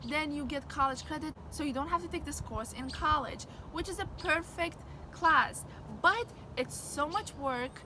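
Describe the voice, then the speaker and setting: High-voiced teen girl, Arabic native, windy outdoors. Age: late teens. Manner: earnest, explanatory, with restarts. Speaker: vlogger. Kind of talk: casual advice vlog. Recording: wind and traffic noise outdoors. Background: wind and passing vehicles.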